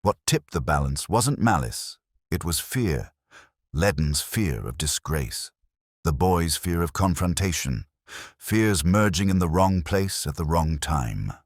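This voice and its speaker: A man narrating a scripted mystery story in a measured, literary way. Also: low-pitched, expressive, no echo, clean studio sound.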